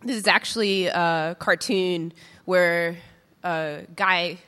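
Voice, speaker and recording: A woman's voice: fairly low pitch, fairly even intonation, slow pace, bright, forceful tone, clean tone. A woman giving a casual, filler-heavy conference talk, a little nervous. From New York. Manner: chatty, informal, many fillers. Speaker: conference speaker. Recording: reverberant hall.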